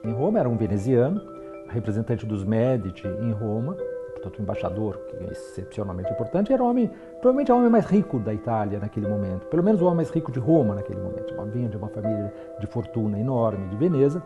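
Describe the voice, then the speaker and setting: A man's voice: fairly low pitch, big pitch swings, quick pace, highs cut off, music in the background. A man explaining a subject as an expert in an interview.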